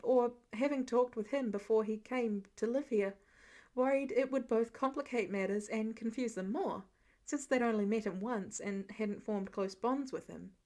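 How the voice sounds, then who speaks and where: A woman's voice: on the high side, a little mellow. A woman reading a scripted story aloud as the narrator of an audio fiction recording. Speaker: podfic narrator.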